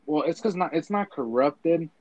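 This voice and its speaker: A man's voice: a little high, expressive, normal speed, a little mellow, dull audio. A young man of Mexican family, talking casually in a rambling way with lots of bro and dude. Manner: rambling, filler-heavy, says bro and dude. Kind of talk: casual chat.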